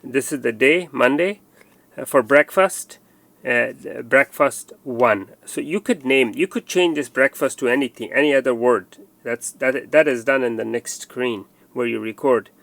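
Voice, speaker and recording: A man's voice: medium pitch, measured pace, vocal fry, a bit bright, no room sound. A man presenting a hands-on installation tutorial, explaining step by step and often repeating himself with fillers.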